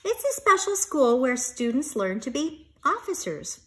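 A woman's voice: sits high, big pitch swings, a little slow, voice pushed up. A woman voicing a friendly, encouraging male police officer in a children's storybook, explaining things upbeat as if to a child. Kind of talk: children's storybook read-aloud.

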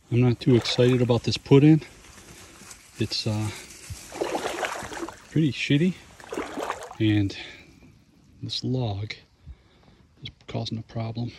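A man in his forties talks to his chest-mounted camera in a low, unhurried voice, grumbling and cursing quietly as he goes. He is outdoors on a wild pond shore, and wind and lapping water are loud in the small camera mic. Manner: muttering, matter-of-fact, swears under his breath. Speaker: solo canoe camper. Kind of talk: first-person outdoor vlog narration. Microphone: action camera clipped at chest or held.

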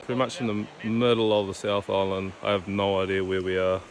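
A young man with a New Zealand accent, chatting excitedly while fly fishing outdoors by a river. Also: fairly low pitch, brisk. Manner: excitable, whoops and laughs. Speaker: fishing vlogger. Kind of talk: casual outdoor chat.

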